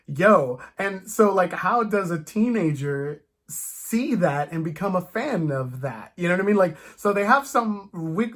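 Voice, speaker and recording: A podcast host chatting casually as a fan, in an animated, rambling, stop-start way with playful asides. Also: medium pitch. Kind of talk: casual comic book fan chat.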